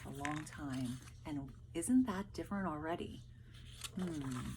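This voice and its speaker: Low-pitched woman teacher over background noise. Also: takes it slowly, a little mellow. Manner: explanatory, addressing pupils directly. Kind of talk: casual lesson and storybook read-aloud.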